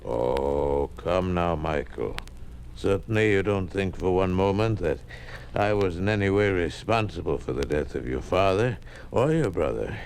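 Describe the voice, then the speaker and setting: An actor playing a sly older lieutenant governor, avuncular and evasive, in 1950s radio drama style. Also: male voice, deep voice, expressive, measured pace, darkish tone. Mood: falsely friendly. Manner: avuncular, evasive, hesitant hemming. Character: sly, smooth-talking older lieutenant governor, two-faced party official. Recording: noisy old 1950s radio recording.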